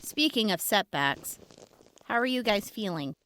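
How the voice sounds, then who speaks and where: A woman playing a sharp-tongued but caring wasteland traveler in an audio drama, speaking in a natural, conversational way. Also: medium pitch, expressive, a little slow, slight background noise. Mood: concerned. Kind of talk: post-apocalyptic audio drama acting.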